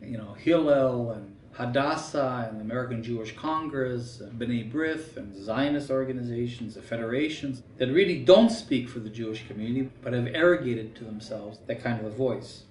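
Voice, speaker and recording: A man's voice: mid-range voice, moderate pace, few pauses, slight background noise. A man giving a critical, emphatic documentary interview, stressing his points. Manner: critical, emphatic, rises sharply when mimicking others. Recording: roomy, distant mic with soft music.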